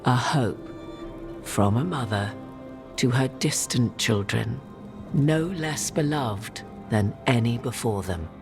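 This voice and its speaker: A proud, cryptic sorceress who speaks in old-fashioned, measured phrases. Her voice rises and falls widely as she lets out her secrets one at a time. She sounds aloof and sure of herself. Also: extremely low pitch, animated, music in the background, full character voice. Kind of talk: video game cutscene acting.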